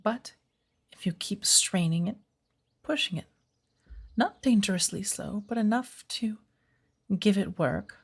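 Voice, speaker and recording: Woman playing a husky, hushed woodland witch mentor. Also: medium pitch. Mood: patient, instructive. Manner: measured, deliberate, formal, often hushed and breathy. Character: patient witch mentor of the woods, gently teaching magic. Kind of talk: ASMR roleplay.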